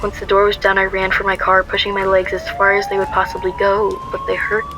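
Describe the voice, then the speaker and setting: A woman's voice: mid-range voice, wildly swooping pitch, quick pace, poor audio. A woman playing a frightened but determined young woman on the run in a first-person radio drama. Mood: urgent.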